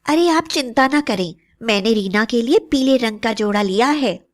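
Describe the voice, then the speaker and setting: A woman's voice: a little high, expressive, normal speed, close to an everyday voice. A woman playing a middle-aged village wife and mother in a cartoon story, speaking reassuringly.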